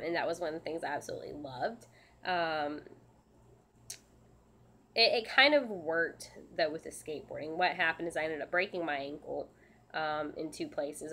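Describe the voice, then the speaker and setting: Stuffy-nosed woman with a lowish voice. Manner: halting, frequent restarts and ums, soft and earnest. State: stuffed up from a sinus infection, scratchy throat. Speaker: service dog handler and channel host. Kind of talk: casual live Q&A answering viewer questions.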